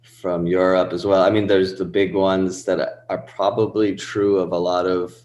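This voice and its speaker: A man in his thirties with a very low voice talks loosely, drifting through fillers and restarts. The sound is roomy and echoey, with the space audible around him. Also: flat delivery, moderate pace, darkish tone. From born in Pakistan, raised in Rhode Island. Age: 30s. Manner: rambling, many fillers and restarts. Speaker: musician guest. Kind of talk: casual interview chat.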